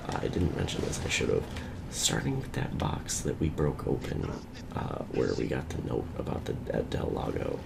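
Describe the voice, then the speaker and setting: Deep, gravelly whispering man with a cold. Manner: soft, rambling, half-whispered. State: nasal from a cold. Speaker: let's play host. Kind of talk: whispered ASMR gameplay commentary. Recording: loud background hiss.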